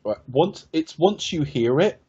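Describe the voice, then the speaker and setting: A man's voice: fairly high pitch, expressive, unhurried, warm tone, breathy edge. A man from Ireland hosting a casual film review podcast, rambling and wry, often correcting himself. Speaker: podcast host. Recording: dull lo-fi podcast audio.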